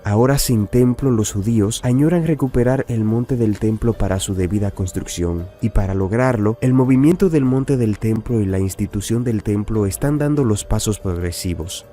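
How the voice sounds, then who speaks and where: A man reading a scripted religious news report in a measured, even way. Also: on the low side, soft background music. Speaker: narrator. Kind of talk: scripted narration.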